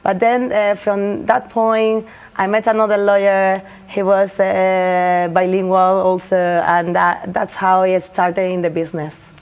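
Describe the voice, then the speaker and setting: A woman's voice: medium pitch, flat delivery, a little slow, a little mellow, smooth. A young woman lawyer from Spain with a Spanish accent, speaking English as a second language and answering interview questions with many fillers and restarts. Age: young adult. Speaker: lawyer guest.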